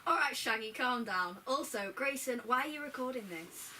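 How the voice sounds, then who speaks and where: Woman reading coolly pragmatic young woman, bright voice. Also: sits high, lively intonation, moderate pace. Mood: challenging. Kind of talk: amateur script read-through. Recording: distant mic, faint room noise.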